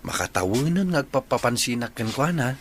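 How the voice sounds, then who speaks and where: A man's voice: mid-range voice, sing-song, fairly quick, slight background noise. A man with a Filipino accent playing a polite, earnest young suitor in a radio drama. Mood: respectful. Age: young adult. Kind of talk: Philippine radio drama acting.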